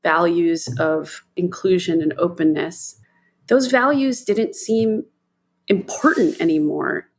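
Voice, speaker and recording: A woman from the United States speaking reflectively in an interview, measured and deliberate. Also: fairly low pitch, breathy edge.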